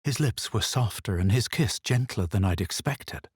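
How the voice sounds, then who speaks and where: A man voicing a self-assured, sardonic male adventurer in a fantasy video game, with a measured, superior delivery. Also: fairly low pitch, expressive, slightly rough, no echo, clean studio sound. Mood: grave, reflective. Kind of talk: video game voice lines, narration and spell shouts.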